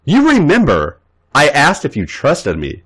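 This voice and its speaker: Confident tender young man lover, read by a man, soft, coaxing, slightly raised pitch. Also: huge pitch swings, unhurried, slightly rough, exaggerated voice.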